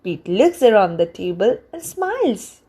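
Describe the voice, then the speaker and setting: A woman reading a children's storybook aloud in an expressive, deliberate way meant for young listeners. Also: mid-range voice, breathy edge. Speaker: children's book reader.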